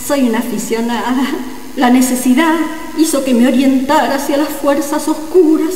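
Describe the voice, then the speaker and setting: Woman playing a high-voiced coy amateur sorceress. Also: normal speed, warm tone, lightly acted. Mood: coy, evasive. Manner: coy, evasive, theatrical. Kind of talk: radio comedy drama acting. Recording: echoey reverberant sound, faint noise.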